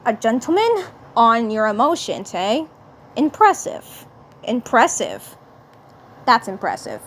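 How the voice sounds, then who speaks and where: A woman's voice: fairly high pitch, huge pitch swings, slow, a little hiss or hum, full character voice. A woman voicing a curious, wry male alien commander who teases the other figure. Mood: teasing. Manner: teasing, wry.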